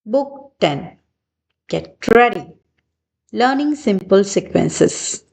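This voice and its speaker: A woman reading a picture book aloud for young children in deliberate, measured short phrases. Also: mid-range voice, lively intonation, vocal fry, a little mellow, husky. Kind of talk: read-aloud storybook narration for young children.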